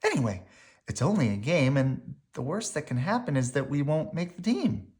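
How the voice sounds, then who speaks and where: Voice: mid-range voice, sing-song, normal speed, darkish tone, voice pushed up, lightly acted. A storybook reader voicing an outspoken young girl bear cub with a pert, matter-of-fact manner. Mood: philosophical.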